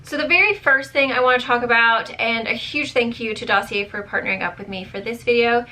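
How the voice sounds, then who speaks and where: A woman reviewing beauty products to camera in a chatty, rambling way, full of fillers, her voice strained and going hoarse. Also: fairly high pitch, a little fast, noisy background. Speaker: beauty vlogger.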